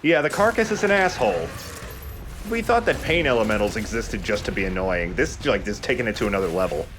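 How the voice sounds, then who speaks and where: Low-voiced man explaining a video game. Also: animated, a little fast. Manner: casual, explanatory, profane. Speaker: let's play host playing the game. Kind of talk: casual gameplay commentary. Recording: faint background noise.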